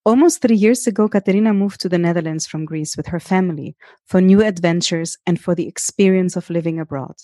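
A woman hosting a podcast, reading a measured introduction and asking her guest questions. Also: on the low side, mellow, no room sound, clean studio sound. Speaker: podcast host. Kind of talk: scripted introduction and interview questions.

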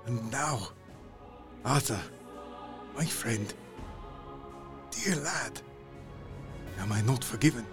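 A man's voice: mid-range voice, animated, takes it slowly, pauses often, music in the background. A man playing a solemn, fatherly older professor in a radio play, speaking in a grave, emphatic, rhetorical way. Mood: tender, solemn.

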